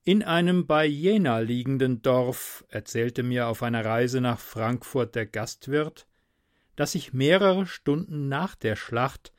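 Man with a faint Palatine accent, mid-pitched, casual podcast host who reads with dramatic emphasis on a dry close mic. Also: no room sound. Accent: Palatine German, softened by speech training. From Mannheim area, Germany. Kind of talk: casual podcast intro, then literary reading.

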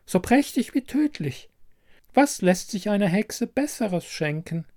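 Fairy-tale casting: elderly beggar-witch played by a man, high croaky voice, crafty, insistent, old-fashioned courtly phrasing. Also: very animated, unhurried, darkish tone, heavily acted. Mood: sly. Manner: insistent, archaic courtly address. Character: old beggar woman, secretly a cunning herb witch.

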